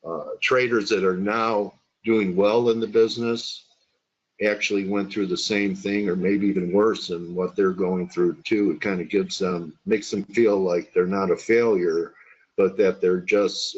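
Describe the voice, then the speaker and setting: A man hosts a trading webinar interview, rambling warmly into his questions with plenty of uhs and you-knows. His voice is fairly low. The audio is dull and muffled, like an online call. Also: breathy edge.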